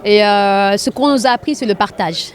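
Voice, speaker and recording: A woman's voice: mid-range voice, moderate pace. A woman from Arabia speaking spontaneously in an interview as a charity volunteer, earnest and repeating phrases for emphasis. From grew up in Arabia.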